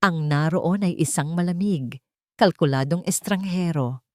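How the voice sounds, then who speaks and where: A woman narrates a dramatic scripted story. Her voice sits a little low for a woman. The recording is dry and close, without room sound. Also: unhurried, no room sound.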